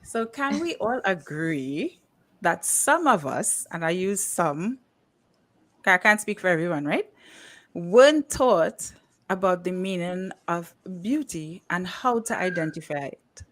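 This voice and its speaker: A woman's voice: on the low side, lively intonation, unhurried. A woman with a Caribbean accent hosting a casual livestream talk show, chatty, with frequent "um"s and laughs. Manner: chatty, laughs often, uses fillers like "um" and "you know". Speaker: podcast host.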